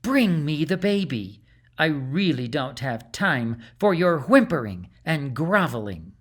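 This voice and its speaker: A man's voice: fairly high pitch, very animated, takes it slowly, fairly bright tone, heavily acted. A man voicing a menacing aging sorceress in a storybook, hollow and callous, commanding and mocking. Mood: contemptuous.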